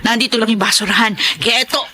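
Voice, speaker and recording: A jealous Filipino wife in a radio melodrama, furious and sarcastic. Her voice is very high and sharp, with faint noise behind it. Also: fairly even intonation, fast talker.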